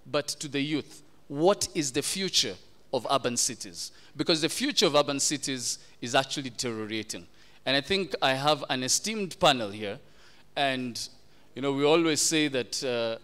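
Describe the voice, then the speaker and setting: A man moderating a formal panel discussion, posing measured questions and slipping into Swahili. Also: medium pitch, a bit bright, smooth.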